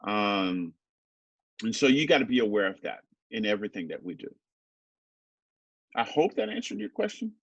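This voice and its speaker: A lower-voiced middle-aged man from Haiti speaks over a dry, dull-sounding video call. He answers in a thoughtful, conversational way, pausing on "um" and "you know" and checking in with "right?". The sound is close and dead, with little room around it. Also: big pitch swings, creaky, husky, no echo. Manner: thoughtful, many fillers and tag "right?". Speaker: teacher being interviewed.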